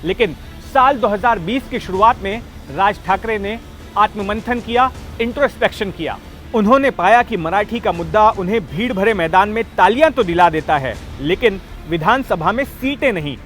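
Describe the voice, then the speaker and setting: Male political explainer narrator, high register, emphatic scripted storytelling, light music underneath. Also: lively intonation, normal speed, a bit bright. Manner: emphatic storytelling with rhetorical questions. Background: background music.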